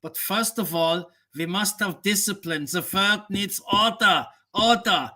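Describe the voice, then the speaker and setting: Man doing a mock German professor, pompous, bossy, bright voice, slow grand declarations. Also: sits high, full character voice. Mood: commanding. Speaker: guest. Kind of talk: comic live stream banter.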